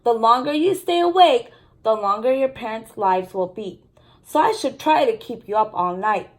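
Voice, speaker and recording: A storybook mom, read aloud by a woman, gentle yet firm as she explains things to her children. Her voice is a little high. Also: lively intonation, moderate pace, natural voice. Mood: explaining.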